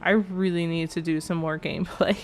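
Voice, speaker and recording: A woman's voice: fairly low pitch, fairly even intonation, a little fast. A young woman giving a rambling, chatty commentary over a game build, sounding a little nasal from allergies. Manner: chatty, rambling, self-correcting. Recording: faint background music.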